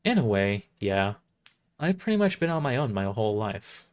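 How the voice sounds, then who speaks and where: A man's voice: lowish voice, sing-song, normal speed. Audio drama role: guarded woman merc, orphaned, sardonic and plain-spoken, read by a man. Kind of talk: amateur audio drama character reading.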